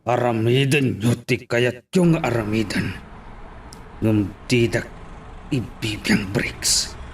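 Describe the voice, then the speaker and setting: A scheming town mayor in an audio drama, acted by a man. He is bossy and takes his time over every word, in a medium-pitched voice. There is faint background noise with birdsong. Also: slow. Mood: commanding, demanding. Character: corrupt, commanding male town mayor, scheming villain.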